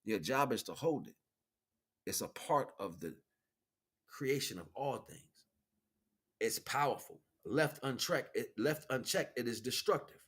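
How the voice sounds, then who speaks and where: Bright-voiced American man, streetwise and emphatic. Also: medium pitch, animated, measured pace, pauses often, slightly rough. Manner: streetwise, slangy, emphatic, repeats points. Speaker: streamer giving spiritual teachings. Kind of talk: casual off-the-cuff monologue.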